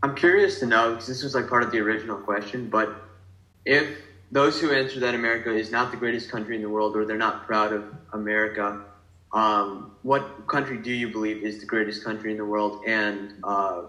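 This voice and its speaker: A man's voice: lowish voice, brisk, bright, forceful tone. Teen guy asking the group stuff, a bit far from the mic, echoey room. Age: teens. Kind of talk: asking questions.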